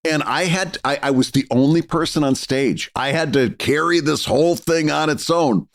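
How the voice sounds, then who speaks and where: A man co-hosting a podcast in casual chat, with crisp, careful enunciation and popping P sounds. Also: a little high, normal speed. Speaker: podcast co-host.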